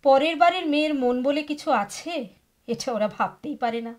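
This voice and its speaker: A woman's voice: high voice, animated, moderate pace. An actress in a Bengali audio drama playing a wistful, unhappy wife of a rich businessman, emotional and confiding. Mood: bitter, stifled. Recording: studio drama with sitar music behind.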